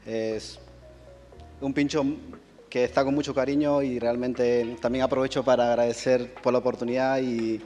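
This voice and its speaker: A man with a Peruvian accent, a chef answering questions at a cooking contest in a soft, halting way with many fillers. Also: mid-range voice, in a large hall, a little hiss or hum. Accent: Peruvian Spanish. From Peru. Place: auditorium stage.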